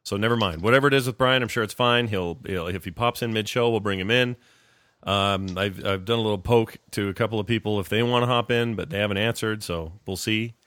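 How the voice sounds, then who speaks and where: A man's voice: fairly low pitch, fairly quick, a bit bright, no room sound. A middle-aged man hosting a podcast with a cold, rambling and joking casually. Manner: rambling, jokey, self-interrupting. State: has a cold, coughing. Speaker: podcast host.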